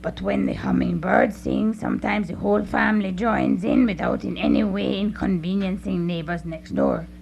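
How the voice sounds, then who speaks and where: A woman's voice: medium pitch, big pitch swings, normal speed, creaky, few pauses, darkish tone. A woman playing an earnest, self-important animal chairing a meeting in a radio play, pompous and orderly. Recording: faintly noisy live radio recording.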